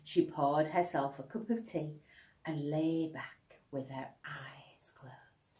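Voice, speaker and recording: A woman's voice: low-pitched, steady tone, slow pace, mellow. A woman narrating a children's storybook like a teacher reading aloud. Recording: echoey room, slightly distant microphone.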